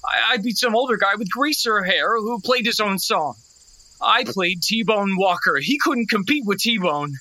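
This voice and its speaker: Bright-voiced, hot-headed country boy. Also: mid-range voice, expressive, unhurried. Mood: shaken. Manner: stammering, impassioned. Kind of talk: audio drama acting.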